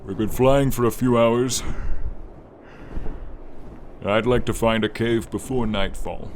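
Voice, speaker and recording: A man voicing a friendly, protective silver dragon in a scripted fantasy ASMR role play, speaking slowly with formal, tender address to the listener. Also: medium pitch, fairly even intonation, a little mellow, natural voice. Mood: musing, practical. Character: friendly silver dragon, male, gentle protective old guardian. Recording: clear background ambience noise, light rain effect. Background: light rain and flying ambience.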